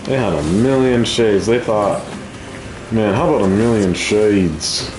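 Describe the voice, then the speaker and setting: A man's voice: lowish voice, a little slow, noisy background. A man giving casual, slangy gameplay commentary as a streamer. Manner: informal, slangy. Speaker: gaming streamer. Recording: roomy echo, game music underneath. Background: video game music.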